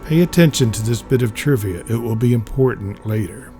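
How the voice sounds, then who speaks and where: A man's voice: medium pitch, fairly quick, gravelly, warm tone, faint music behind. A man giving a scripted narration, reading a memoir-style comic aloud.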